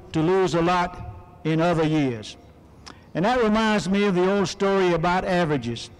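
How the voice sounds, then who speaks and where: Man, Midwestern accent, high-pitched, political convention speech, long hall reverb, 1970s archive recording. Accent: American Midwest. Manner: folksy, wry storytelling. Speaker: convention speaker.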